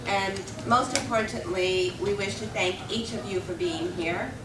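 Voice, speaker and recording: A woman's voice: medium pitch, a little slow. A woman opening an advocacy event with a formal, earnest welcome and introductions of the guests. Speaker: event moderator for advocacy groups. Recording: echoey hall, distant mic, background noise.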